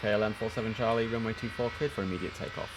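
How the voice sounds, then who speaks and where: A man's voice: lowish voice, fairly even intonation, rapid-fire. A man livestreaming as an air traffic controller, giving clipped formal radio instructions and muttering casual commentary to himself in between.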